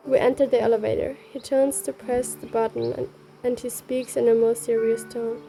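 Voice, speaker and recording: A woman's voice: on the high side, little pitch movement, moderate pace, vocal fry, warm tone, rough recording. A woman reading a scripted story aloud as narrator, also taking the heroine's lines. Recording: background music.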